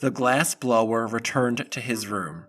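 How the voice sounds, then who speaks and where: A man's voice: medium pitch, a little slow, a bit bright, faint music behind. A man narrating a scripted audio drama in the manner of a storybook reading.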